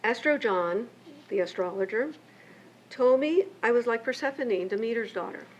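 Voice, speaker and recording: An older woman, raised between Mexico and the United States, reads a prepared keynote speech in a measured, reflective way from her pages. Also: a little high, animated. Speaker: keynote speaker and memoirist. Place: lecture event. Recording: muffled lo-fi with faint hiss.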